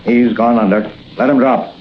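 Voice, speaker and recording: An actor playing a sneering gangster extortionist in a 1940s radio drama, on a muffled old recording with faint hiss. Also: male voice, mid-range voice, fairly even intonation, normal speed, natural voice. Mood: menacing. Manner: tough, cocky street slang.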